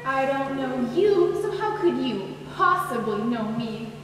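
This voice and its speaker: A teenage girl acting a prickly, blunt, sardonic woman in a high school stage play, curt and dismissive. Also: big pitch swings, a little slow. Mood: irritated. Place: high school stage, audience camera far away. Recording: noisy echoey hall, recorded from far off.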